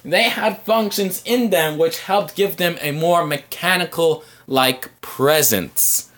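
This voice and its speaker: A man hosting a casual fan podcast, answering viewer comments in a halting, rambling way as he thinks aloud. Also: fairly high pitch, fairly bright tone. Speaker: podcast host.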